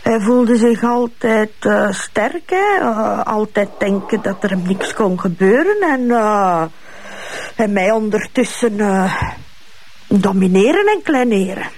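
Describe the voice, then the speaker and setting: Husky, bitter, evasive Flemish widow. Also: female voice, medium pitch, expressive, slow, a little mellow. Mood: guarded, disdainful. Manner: hesitant, evasive, repeating short answers. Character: cool, evasive, bitter widow of a murdered man. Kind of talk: police interrogation scene in a radio drama.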